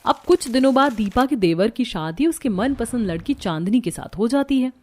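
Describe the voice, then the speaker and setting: Woman storyteller, high voice, scripted cartoon story narration. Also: lively intonation, moderate pace, warm tone.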